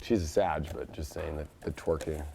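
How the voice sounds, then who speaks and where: A man with a raspy voice hosting a casual live tarot reading, rambling and excitable, with lots of fillers and sudden loud outbursts. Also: very deep voice, moderate pace, a little hiss or hum. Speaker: tarot reader and astrologer.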